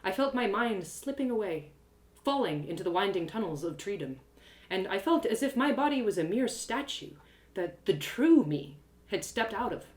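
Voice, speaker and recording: A woman narrating a fantasy story in the first person as an expressive live reading. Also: mid-range voice, normal speed, echoey room.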